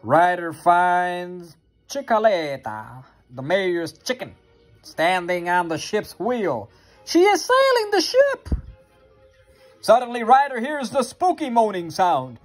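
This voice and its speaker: An expressive reader with a sing-song delivery, reading a children's picture book aloud into a phone at home. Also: medium pitch, slow pace, faint music behind. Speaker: storybook reader. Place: carpeted playroom at home. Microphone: handheld phone near the reader.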